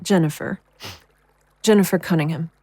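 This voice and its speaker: A woman playing a fragile, grieving psychic in an audio drama, speaking in a hesitant, halting way. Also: lowish voice, mellow, no room sound, lightly acted. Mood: hesitant, sad.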